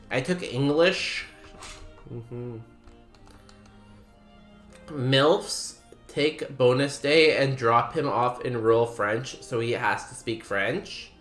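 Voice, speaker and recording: A man's voice: mid-range voice, fairly bright tone. A young man from Canada chatting casually with his stream audience while he plays, rambling and poking fun at himself with lots of ums and likes. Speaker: streamer playing a video game. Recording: roomy echo with faint music behind.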